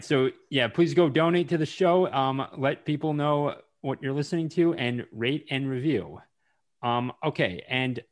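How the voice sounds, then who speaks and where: A man hosting a podcast interview, speaking in an unhurried casual way with frequent ums and restarts. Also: mid-range voice. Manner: slow, hesitant with many ums. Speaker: podcast host.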